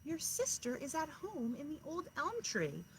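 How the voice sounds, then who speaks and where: A woman voicing an encouraging owl parent in a children's storybook, coaxing with drawn-out, emphatic words. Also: sits high, huge pitch swings, voice pushed up, full character voice, poor audio. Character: encouraging owl parents, mother and father, firmly urging. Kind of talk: children's storybook read-aloud. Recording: clear background noise, outdoors.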